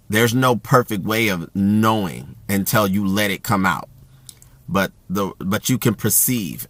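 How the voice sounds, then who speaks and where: A man talking casually about communication in an even, self-described monotone, with a loud voice that carries and plenty of fillers and restarts. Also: deep voice, no room sound. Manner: rambling with frequent fillers and restarts. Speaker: host.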